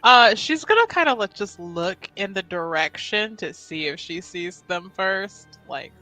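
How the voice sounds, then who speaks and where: Animated woman playing a tabletop game. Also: mid-range voice, unhurried, soft background music, poor audio. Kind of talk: casual improvised roleplay.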